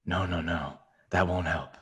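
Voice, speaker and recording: A man reads a crude, unbothered male jailbird who coaxes his cellmate in a low, easy voice. The delivery is slow and mocking, with a calm that makes it feel worse. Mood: coaxing. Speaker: table read actor. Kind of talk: table read of a script.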